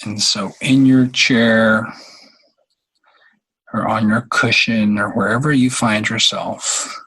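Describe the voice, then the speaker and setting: A man leading a guided meditation in a halting, unhurried way, with long pauses between phrases. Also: fairly low pitch, fairly even intonation, fairly bright tone, slightly rough, rough recording.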